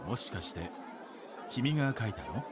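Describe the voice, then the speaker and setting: A man voicing a courteous, gentle, softly appreciative gentleman in a visual novel. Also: mid-range voice, animated, fast, crowd noise, lightly acted. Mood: polite, admiring. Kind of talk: Japanese visual novel voice acting. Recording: game audio under noisy stream ambience.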